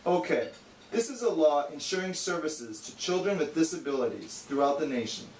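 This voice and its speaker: Man acting a highish, echoey know-it-all puppet. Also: expressive, moderate pace, darkish tone, natural voice. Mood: earnest, didactic. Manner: didactic, slightly condescending. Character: bookish, exasperated know-it-all male puppet. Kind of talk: amateur educational puppet show, scripted explaining. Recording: echoey room, distant mic, hissy noise.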